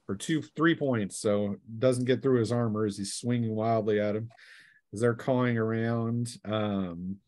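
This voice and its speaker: A man's voice: lowish voice, steady tone, unhurried, darkish tone, dry recording. A man acting as game master, casually narrating a tabletop game in a playful way, with spoken sound effects.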